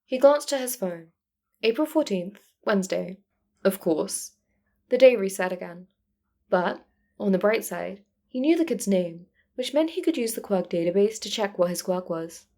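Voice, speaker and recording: A woman narrates prose fiction in a medium pitch. Her delivery is scripted storytelling, following a character's thoughts as an audiobook reader would. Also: animated, moderate pace, dark tone.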